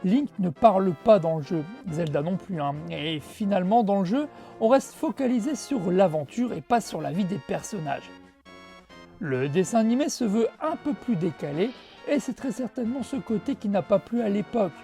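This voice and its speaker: Female presenter, mid pitch, soft-edged tone, conversational scripted review with light music underneath. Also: animated, moderate pace, gravelly. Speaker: host of a retro video game show. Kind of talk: scripted review narration with casual asides.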